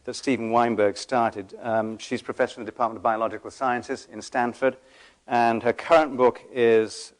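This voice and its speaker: Lower-voiced man introducing a panel speaker. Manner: halting, with frequent fillers and restarts. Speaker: panel moderator.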